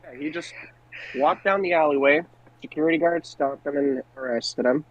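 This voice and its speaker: Higher-voiced man on a dull mic. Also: normal speed. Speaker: podcast co-host. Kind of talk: casual chat about pro wrestling.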